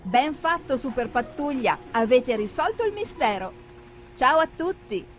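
A woman narrating a cartoon for children in an expressive, exclamatory storytelling manner. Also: high-pitched, a little slow, soft background music.

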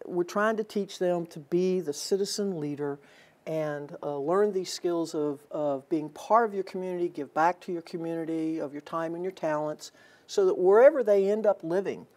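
Older woman with a low voice, earnest guest. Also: moderate pace, darkish tone. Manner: earnest, many fillers and restarts. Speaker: athletic director, guest. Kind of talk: studio interview. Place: TV studio set.

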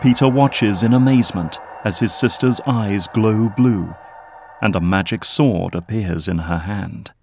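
A man narrating an audio drama in a measured, scripted style. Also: fairly low pitch, gravelly, a little mellow, soft background music.

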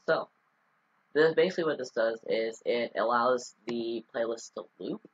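A man's voice: mid-range voice, normal speed, breathy edge, dull audio. A man talking through a casual screen-recorded coding tutorial, rambling with many ums and restarts. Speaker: scripting tutorial host.

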